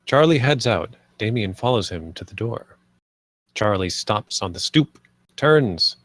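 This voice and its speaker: A man's voice: fairly low pitch, expressive, a little slow, a bit bright, no echo. A man reading stage directions as the narrator of a film script read. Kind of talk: scripted narration, present tense.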